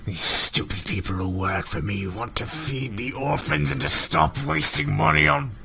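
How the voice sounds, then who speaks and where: A man reading a story aloud in a theatrical, comedic way, often dropping into a hushed, breathy delivery. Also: medium pitch, steady tone, moderate pace, a little hiss or hum. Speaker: host reading fan fiction aloud to friends. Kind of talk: mock-dramatic comedic readalong.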